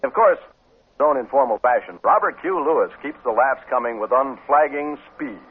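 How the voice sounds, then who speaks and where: A man's voice: mid-range voice, lively intonation, normal speed, husky. A man reading scripted promotional announcements in the upbeat, polished style of a 1950s radio announcer. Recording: muffled, narrow-band 1950s radio recording.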